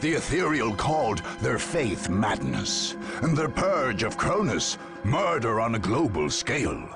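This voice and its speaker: An actor playing a grave, solemn chronicler of a galactic war, giving scripted video game narration in a gravelly voice. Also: male voice, medium pitch, big pitch swings, a little slow. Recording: narration over prominent game music.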